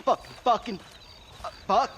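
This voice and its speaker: An angry male outlaw in a Western game, very high in pitch and badly stuck on his stutter as he curses. Faint outdoor noise sits behind him. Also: unhurried, a bit bright, lo-fi sound. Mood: indignant. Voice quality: heavy stutter. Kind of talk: video game cutscene acting.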